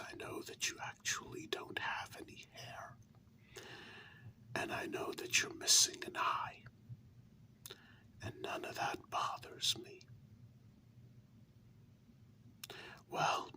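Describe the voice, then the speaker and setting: Slow, sharp whisper of a tender human lover acted out. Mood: reassuring, earnest. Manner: intimate, halting, reassuring delivery. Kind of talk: whispered ASMR role-play.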